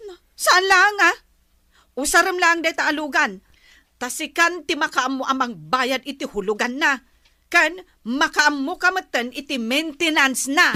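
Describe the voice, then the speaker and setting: Woman acting exasperated ilocano filipino wife, really high sharp voice, always complaining, comedy drama. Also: lively intonation, unhurried, no echo, clean studio sound, full character voice. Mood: indignant. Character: nagging exasperated wife fretting over household spending.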